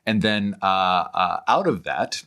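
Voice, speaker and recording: A man with a deep, low voice talks as a guest in an interview. He explains in a scholarly, discursive way, often pausing mid-phrase to find the next word before carrying the thought on. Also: lively intonation, sharp tone. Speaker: podcast guest, author on philosophy and literature.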